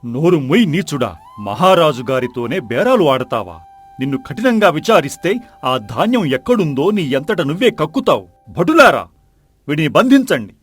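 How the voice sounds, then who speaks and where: A man acts out a fierce, impulsive army commander in an audio folk tale. He is harsh and indignant, his raised voice swinging in pitch over faint music. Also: sing-song, normal speed, husky, dry recording. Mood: angry.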